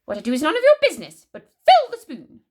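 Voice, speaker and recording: A cantankerous old grandmother from a children's storybook, very high and shrill. She barks orders and complains at full volume, melodramatic and spiteful, with wild swoops in pitch. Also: huge pitch swings, quick pace, exaggerated voice. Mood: bossy, snappish. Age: older. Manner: bossy, yelling, melodramatic. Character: cranky shrieking old grandmother, bossy and spiteful.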